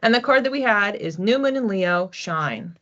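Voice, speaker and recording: A woman reading oracle cards for a general audience in a relaxed, chatty way, thinking aloud as she goes. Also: mid-range voice, moderate pace. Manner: chatty, thinking aloud with restarts and soft laughs. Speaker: psychic card reader. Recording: slightly dull lo-fi audio.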